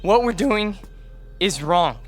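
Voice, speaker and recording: Frantic, high-voiced alien boy. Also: animated, slow pace, faint music behind. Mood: desperate pleading. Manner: stammering, pleading. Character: frantic alien boy defecting, pleading to save humankind. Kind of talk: radio drama acting.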